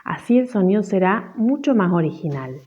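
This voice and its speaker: A woman's voice: mid-range voice, animated, moderate pace, dull audio, background noise. A woman with an Argentine accent giving instructions and examples as a teacher.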